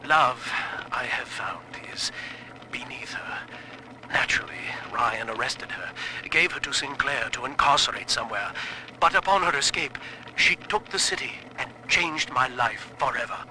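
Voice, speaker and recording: An actor playing a troubled, erudite scientist, recording a formal, deliberate and precise audio diary. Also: male voice, mid-range voice, expressive. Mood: wistful, bitter. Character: troubled, erudite male scientist, reflective and devoted. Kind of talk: dramatic audio-diary monologue. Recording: bright, faintly noisy audio-log tape.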